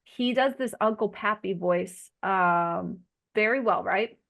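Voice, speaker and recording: A woman's voice: mid-range voice, takes it slowly, gravelly, a bit bright, muffled recording. Chatty woman with Arkansas-Jersey accent. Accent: blend of Arkansas Southern and New Jersey. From Arkansas, United States. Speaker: host of an online group chat. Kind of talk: casual group video chat. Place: video call.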